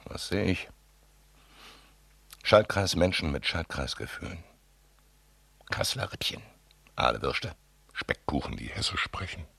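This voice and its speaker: Very deep, gravelly, bitter recluse. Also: male voice, big pitch swings, unhurried, long pauses, pushed and bright, no echo, full character voice. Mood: disgusted, brooding. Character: bitter, disgusted reclusive male tape artist in monologue. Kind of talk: experimental radio drama monologue.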